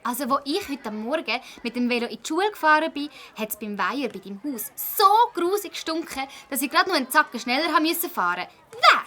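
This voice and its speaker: Determined swiss german schoolgirl, really high sharp bouncy voice, kids radio play. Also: quick pace, hardly any pauses, a little hiss or hum. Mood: disgusted. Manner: emphatic, expressive. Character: bright, eager schoolgirl detective, indignant and determined. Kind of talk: Swiss children's audio drama.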